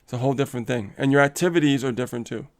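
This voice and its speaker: A man's voice: medium pitch, racing. A man co-hosting a casual live coaching stream, upbeat and motivational, laughing often and reading viewer comments aloud.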